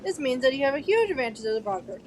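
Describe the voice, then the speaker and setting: A woman's voice: high-pitched, sing-song, very fast, slight background noise. A woman playing a courteous, encouraging male professor instructing a class in an audio drama. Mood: instructive. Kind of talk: fan audio drama acting.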